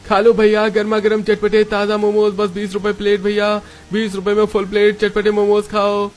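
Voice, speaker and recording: Man acting a high-pitched, humble street vendor. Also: completely flat delivery, moderate pace, natural voice. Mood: calling out. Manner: earnest, pleading. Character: poor, humble, honest male momo street vendor. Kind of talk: Hindi cartoon story dialogue. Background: background music.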